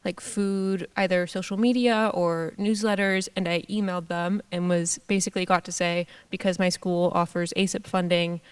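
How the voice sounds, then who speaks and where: Casting: young woman from Hawaii, sophomore panelist, smooth clear voice, chatty and storytelling. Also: fairly low pitch, steady tone, moderate pace, hardly any pauses. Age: young adult. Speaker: student panelist. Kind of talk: panel introduction.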